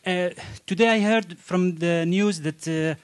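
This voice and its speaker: A man asking a panel questions, halting often with "uh" as he searches for words. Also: high-pitched, no room sound. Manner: halting, many "uh" pauses. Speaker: audience questioner.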